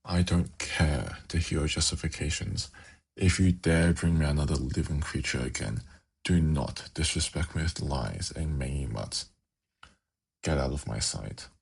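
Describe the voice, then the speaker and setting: A man playing a suave, haughty demon prince in an ASMR fantasy roleplay, speaking in a measured, formal, silkily condescending way with a gravelly, husky voice. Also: extremely low pitch, heavily acted. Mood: cold disdain.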